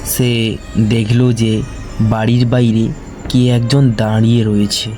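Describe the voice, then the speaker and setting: A man narrates a cartoon ghost story in a slow, deliberate way. His voice is dark and mellow at a middle pitch, with faint music beneath it. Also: little pitch movement. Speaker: narrator and channel host.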